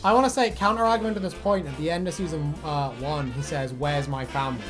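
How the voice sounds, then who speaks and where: A woman co-hosting a casual chat about fan theories, animated, giggly and swearing freely. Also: deep voice, fast talker, over music. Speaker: video co-host.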